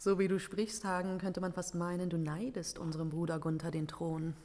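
An actress playing a sceptical, teasing, unmarried royal sister in a fantasy radio drama. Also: female voice, fairly low pitch, little pitch movement, a little fast, a little mellow, close to an everyday voice. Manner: teasing, questioning.

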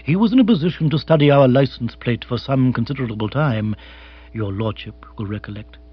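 A male reader puts on a calm English manservant from a comic storybook. He is deferential and exact, with a deadpan formality and learned wording, in a middle-pitched voice that is slightly husky. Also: lo-fi sound, soft background music, close to an everyday voice. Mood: unruffled, deferential. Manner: formal, measured, deadpan, erudite. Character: imperturbable, deferential English manservant, dry and precise.

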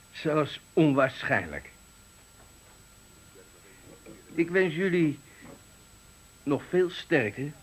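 An actor playing an embittered, eloquent factory worker of sixty-five, giving a dramatic, deliberate farewell speech in a radio play. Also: male voice, fairly high pitch, poor audio. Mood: bitter, measured. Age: 60s. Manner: oratorical, dramatic, deliberate phrasing. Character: embittered eloquent 65-year-old male factory worker at his retirement.

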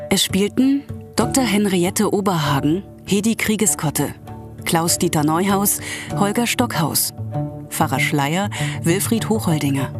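A woman announcer reading scripted radio drama credits in a formal way. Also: fairly low pitch.

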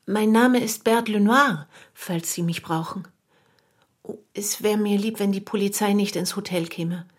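A hotel maid played by an actress confides what she has seen in a medium-pitched voice. She is matter-of-fact and dutiful, a gossip who informs in a radio play. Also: a little slow, natural voice. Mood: confiding, earnest. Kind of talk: radio drama dialogue.

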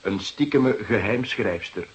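A man's voice: fairly low pitch, animated, a little slow, a little hiss or hum, rough recording. A man playing an earnest, dutiful junior police detective in a Dutch radio drama, explaining eagerly and repeating himself. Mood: matter-of-fact.

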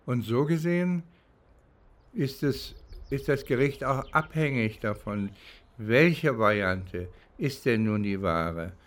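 An older man from East Germany recounting his legal experience reflectively, halting and pausing between phrases. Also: mid-range voice, animated. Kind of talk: reflective spoken recollection, interview-like.

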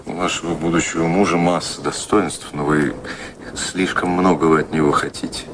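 An actor playing a worldly, cynical gentleman in a Russian radio drama, ironic, persuasive and theatrical in manner. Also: very deep voice, fairly even intonation, normal speed, noisy background.

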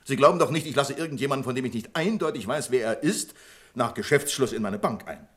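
A man's voice: medium pitch, fast, a bit bright, close to an everyday voice. A man acting in a German radio play as an exasperated, sarcastic bank branch manager, with indignant, dramatic emphasis.

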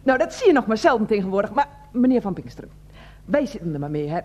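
An actress playing an older, self-righteous head of a residents' association in a radio play, wheezy, formal and repetitive. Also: female voice, mid-range voice, sing-song, fast, a little mellow. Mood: politely aggrieved. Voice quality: wheezy, asthmatic breathing. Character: fussy, indignant older woman heading a residents' association. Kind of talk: Dutch radio play acting.

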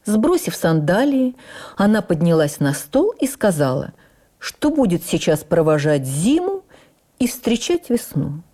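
A woman playing an actress who reverently recalls her life, in an expressive, measured dramatic narration for radio. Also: fairly low pitch. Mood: admiring, reflective. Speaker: actress reading memoirs on radio. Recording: radio broadcast with faint music.

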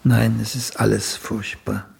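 A male actor in a German radio play, playing an older celebrated playwright who is a condescending, bluntly critical mentor with a gravelly, husky voice. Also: on the low side, little pitch movement, measured pace, darkish tone, heavily acted. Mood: scathing, condescending.

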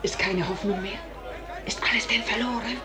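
A woman's voice: medium pitch, fairly even intonation, normal speed, natural voice. An actress playing an anxious noblewoman who clings to hope, exclaiming emotionally in a classical radio drama. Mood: despairing. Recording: echoey, loud music and crowd behind.

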